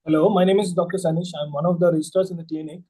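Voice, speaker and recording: A man with a high-pitched yet mellow voice takes a patient history in a practice consultation. He is polite and formal, steps hesitantly into each question and keeps addressing the patient as ma'am. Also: steady tone, very fast, slightly rough, rough recording. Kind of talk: role-played clinical history taking.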